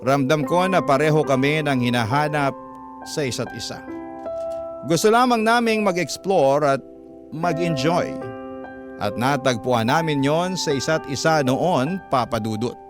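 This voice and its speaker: A man's voice: on the high side, measured pace, music in the background. A man with a Filipino accent narrating a scripted first-person story as a radio host in classic storytelling style.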